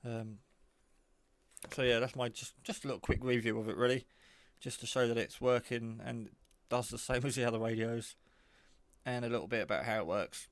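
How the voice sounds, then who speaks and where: Man, gravelly fry, low-key, thinking aloud, casual hobbyist demo. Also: steady tone, normal speed, no room sound. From England. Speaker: hobbyist reviewer. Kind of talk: casual off-the-cuff product demo.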